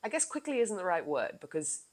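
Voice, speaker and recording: A woman hosting her own podcast in casual chat, with a low voice, animated and laughing often, now and then swearing. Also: a little fast. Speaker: podcast host.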